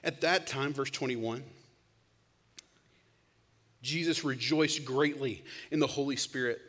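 Bright-voiced preacher in a reverberant hall. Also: male voice, medium pitch, slow. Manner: storytelling, swings from loud bursts to hushed whispers. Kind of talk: sermon.

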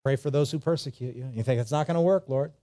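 Mellow-voiced man preaching conversationally. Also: medium pitch, fast. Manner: informal, acts out imagined replies and dialogue; rhetorical questions and repetition for emphasis. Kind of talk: conversational Bible sermon.

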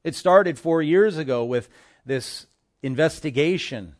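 A man preaching a sermon as pastor of his church, impassioned, swinging between hushed asides and forceful declarations. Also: a little high, unhurried. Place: church in Hawaii.

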